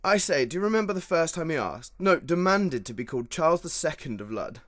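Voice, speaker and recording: An actor playing a well-bred Edwardian general and devoted husband with a British accent, in a radio play. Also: male voice, a little high, animated, a little fast, a bit bright. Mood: genial teasing.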